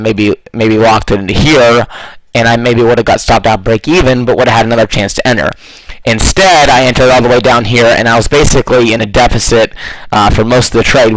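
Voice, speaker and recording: A man with a slightly hoarse voice, walking through trading charts in a loose, casual way with lots of fillers. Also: medium pitch, dry recording, low-quality recording. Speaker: forex trading analyst.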